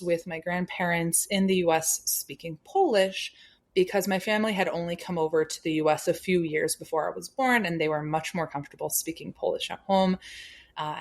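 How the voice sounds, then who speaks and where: A young adult American woman, a podcast guest, explaining things thoughtfully with some fillers. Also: on the low side. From United States. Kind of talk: conversational interview.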